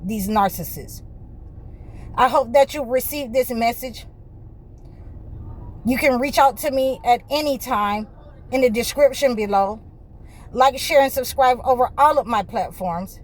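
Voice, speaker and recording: A woman spiritual healer preaching to her viewers in a fervent, repetitive way, full of restarts and "you know" fillers, and sometimes rising close to a shout. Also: a little high, slight background noise. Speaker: spiritual healer and channel host.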